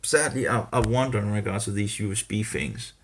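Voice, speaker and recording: A man talking through a rambling casual vlog, halting and full of restarts, with grumbling disapproving asides. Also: fairly low pitch, animated, slight background noise. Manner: hesitant, halting, many restarts and fillers. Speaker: vlogger.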